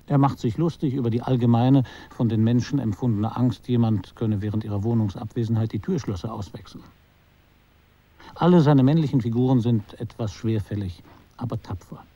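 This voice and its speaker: A man performing a detached, ironic literary narrator in a radio drama, with measured, deliberate phrasing. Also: medium pitch, warm tone, no room sound, muffled recording, natural voice. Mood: measured, wry.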